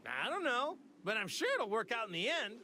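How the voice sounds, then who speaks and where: A man's voice: very high-pitched, very animated, brisk, pushed and bright, a little hiss or hum, full character voice. An actor playing a cheerful, naive martial arts hero in an anime fighting game, a man with a boyish, easygoing, earnest manner. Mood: upbeat, earnest. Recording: music behind the voice.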